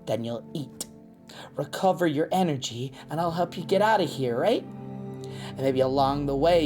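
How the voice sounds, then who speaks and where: A woman voicing a cocky, gruff but motherly lycan mercenary in an audio drama, with a teasing, sardonic drawl. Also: deep voice. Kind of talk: scripted audio roleplay drama.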